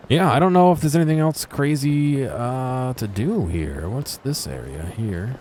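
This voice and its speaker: A man's voice: mid-range voice, animated, a little slow, a little mellow, slight background noise. A man giving casual commentary while exploring a video game, musing and muttering to himself.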